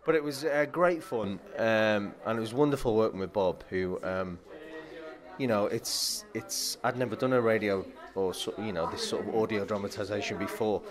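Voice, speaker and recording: A man's voice: lowish voice, normal speed, over music. A man, an actor, answering interview questions eagerly, heaping on praise and laughing easily.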